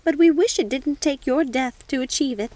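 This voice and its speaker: A tender, reassuring witch mother from a storybook, played by a woman reader. Her voice is high and motherly, with a soothing, protective way of speaking. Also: moderate pace, darkish tone, close to an everyday voice. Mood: wistful.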